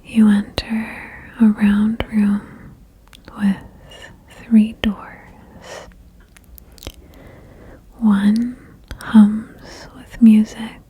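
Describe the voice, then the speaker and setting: A woman whispering a guided ASMR meditation in a breathy, soothing way, with gentle instructions and long pauses. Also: medium pitch, completely flat delivery, a little hiss or hum, low-quality recording. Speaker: ASMR host guiding a relaxation game.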